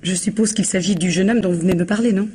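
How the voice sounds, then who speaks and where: An actress playing a tartly defiant widowed bar owner in a French radio mystery, measured and evasive. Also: female voice, mid-range voice, fairly even intonation, warm tone, slightly rough, close to an everyday voice. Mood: guarded, irritated. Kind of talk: 1950s-style French radio mystery acting.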